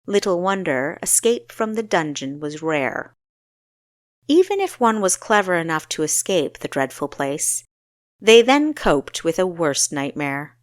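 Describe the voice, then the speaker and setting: A woman narrating a fantasy story in an expressive, dramatic storytelling style with deliberate emphasis. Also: lowish voice, no room sound, clean studio sound. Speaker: audiobook narrator.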